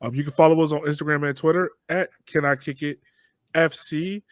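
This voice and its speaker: A man's voice: on the high side, steady tone, fairly quick, dry recording, studio quality. A man hosting a podcast with casual, slangy, laid-back chat. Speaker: podcast host.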